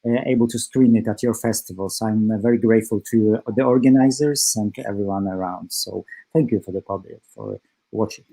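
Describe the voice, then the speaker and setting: Brief: Polish-born man, native Polish speaker, filmmaker guest on a video call, low mellow voice, halting with lots of fillers. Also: gravelly, poor audio. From Poland. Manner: hesitant, many fillers, second-language English. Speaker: film director guest. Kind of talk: festival Q&A answers.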